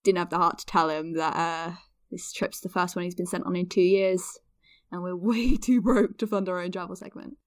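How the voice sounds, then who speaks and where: A woman's voice: fairly low pitch, steady tone, moderate pace, dry recording, studio quality, close to an everyday voice. A woman playing a self-deprecating, nerdy podcaster in her twenties, rambling chattily with hesitant asides in an audio drama. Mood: earnest, rambling. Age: 20s.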